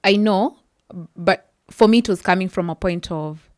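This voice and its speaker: A woman's voice: mid-range voice, normal speed, dry recording, studio quality. A woman sharing her personal story as a podcast guest, earnest and often restarting her sentences. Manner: earnest, searching for words with frequent restarts. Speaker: podcast guest, psychology worker. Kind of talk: personal testimony in a podcast interview.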